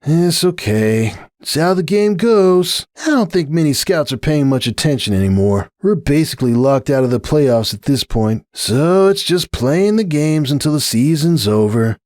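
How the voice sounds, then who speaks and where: A young cartoon pegasus jock, played by a man, pitched well up with lively swings. He grumbles wryly and dramatically, sounding strained and wheezy. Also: normal speed, few pauses, dry recording, studio quality, close to an everyday voice. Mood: resigned. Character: young male cartoon pegasus athlete, wry, stoic sore grumbler.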